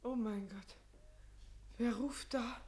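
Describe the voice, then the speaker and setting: An actress playing an excitable, distraught civil servant's wife, exclaiming melodramatically in a radio comedy. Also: female voice, on the high side, takes it slowly, breathy edge. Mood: astonished, tender. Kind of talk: theatrical radio comedy acting.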